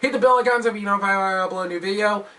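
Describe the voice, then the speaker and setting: A man hosting a video countdown, delivering scripted commentary with self-deprecating, jokey asides in an animated manner. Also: high voice, racing, far from the mic. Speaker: host of a video countdown show.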